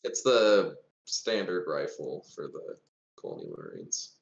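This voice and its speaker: A man's voice: extremely low pitch, huge pitch swings, unhurried, gravelly. A man chatting casually as a player in a tabletop game, on a dull, roomy microphone. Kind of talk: casual gaming chat.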